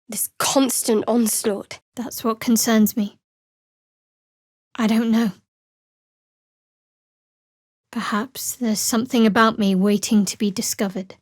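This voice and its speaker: Actress as a guarded, higher-voiced woman cleric, close and dry. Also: dry recording, lightly acted. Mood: pensive. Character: guarded, devout, dryly sardonic young woman cleric adventurer. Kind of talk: video game voice acting.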